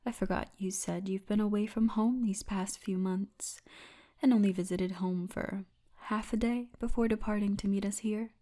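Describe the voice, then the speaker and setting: A woman voicing a friendly female orc tour guide in an intimate ASMR roleplay, speaking softly and often breathily. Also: medium pitch, fairly even intonation, natural voice. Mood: amused, gossipy. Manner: soft, intimate, unhurried storytelling, often breathy. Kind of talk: ASMR roleplay, addressed to the listener.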